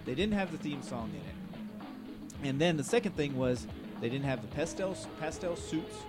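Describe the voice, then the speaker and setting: Animated man, loud music behind. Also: on the high side, normal speed. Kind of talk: casual podcast chat.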